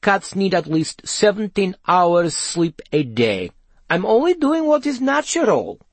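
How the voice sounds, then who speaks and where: A man's voice: high voice, very animated, measured pace, dry recording, pitched above the performer's own voice, heavily acted. A man voicing a sleepy, self-important female talking cat in a storybook reading, with a drowsy, nonchalant manner.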